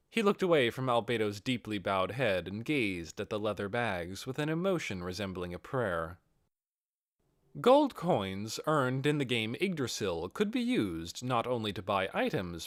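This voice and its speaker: A man reading a fantasy novel aloud as expressive scripted audiobook narration. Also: on the low side, no room sound, clean studio sound. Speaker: audiobook reader.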